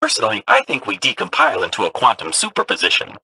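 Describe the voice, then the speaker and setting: An actor playing a small, curious, chatty robot companion in a science fantasy video game. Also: male voice, lowish voice, wildly swooping pitch, fast, noisy background.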